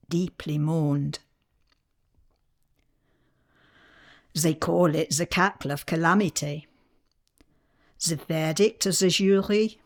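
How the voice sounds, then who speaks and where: A woman playing a tender, dignified French widow of the 1890s in a radio play monologue, speaking with a French accent in a measured, wistful way. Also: lowish voice. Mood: grieving.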